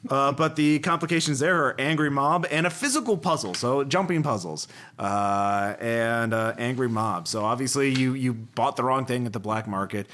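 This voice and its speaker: A man hosting a casual group brainstorming chat, excitable as he runs through ideas. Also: medium pitch, normal speed, slight background noise. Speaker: podcast host.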